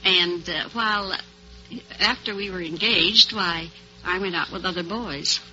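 A woman's voice: on the low side, a little slow, sharp tone, soft background music. A woman answering as a contestant on an old-time radio quiz, chatty and polite. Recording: dull vintage radio recording.

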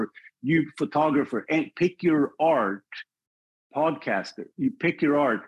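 A man with a slightly husky voice talks about his work in a measured, reflective way. He often stops and restarts his phrases as he thinks. The recording sounds dull and muffled. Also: mid-range voice. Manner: thoughtful, measured, with frequent restarts. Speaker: filmmaker guest. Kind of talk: reflective podcast conversation.

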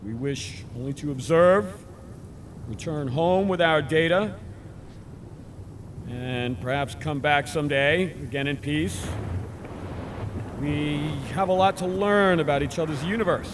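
An actor playing an earnest, commanding leader of a scientific expedition in a sci-fi audio drama. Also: male voice, on the high side, a little slow, pauses often, slight background noise, lightly acted. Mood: firm, diplomatic. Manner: earnest, authoritative.

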